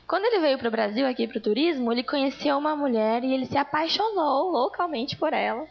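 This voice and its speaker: A woman speaks to a church congregation in a fairly high, gravelly voice. She tells her testimony earnestly, like a story. The recording sounds dull and lo-fi. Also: animated, fairly quick. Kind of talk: testimony sermon.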